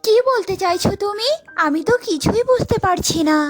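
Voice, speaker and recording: A woman's voice: very high-pitched, animated, moderate pace, faint music behind. A woman playing a kind, headstrong young princess in a children's cartoon, earnest and insistent. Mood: distressed.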